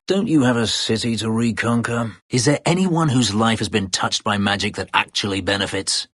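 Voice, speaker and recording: Brooding elf warrior and escaped slave, deep voice, raspy, bitter, guarded, proud, terse and formal, fantasy game dialogue. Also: male voice, a bit bright, no room sound, studio quality. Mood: grave, resigned. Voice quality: husky, gravelly low voice. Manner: terse, grave, formal phrasing. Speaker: video game character actor. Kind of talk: dramatic video game dialogue.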